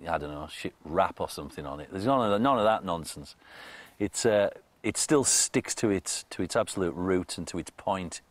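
A man's voice: low voice, no room sound. A man, bluntly and eagerly describing a new album in a documentary interview. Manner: enthusiastic, blunt, swears casually. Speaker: band member. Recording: music playing underneath.

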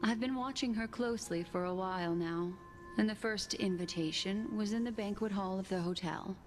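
A woman's voice: mid-range voice, moderate pace, slight background noise, close to an everyday voice. An actress playing a poised, mysterious woman who narrates like a storyteller in a game. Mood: poised, musing.

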